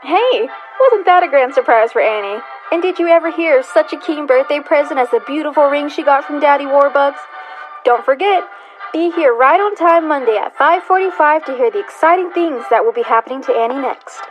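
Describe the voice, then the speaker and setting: A woman's voice: sits high, animated, fairly quick, highs cut off, music in the background. A young woman playing a keen, eager youngster in 1930s radio serial style. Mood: promotional. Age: teenager or young adult. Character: keen, eager child chum and serial announcer.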